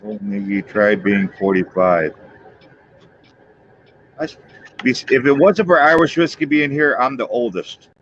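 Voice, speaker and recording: A man's voice: medium pitch, measured pace, long pauses, fairly bright tone, muffled recording, a little hiss or hum. A man in his fifties hosting a livestream, chatting loosely and joking with viewers. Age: 50s. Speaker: livestream host. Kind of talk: casual chat with viewers.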